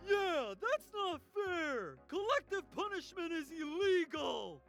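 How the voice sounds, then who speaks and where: Very high-voiced grumbling schoolboy. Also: male voice, big pitch swings, slow, a bit bright, faint music behind, exaggerated voice. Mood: indignant. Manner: exasperated, complaining. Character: grumbling young schoolboy who loves horror movies.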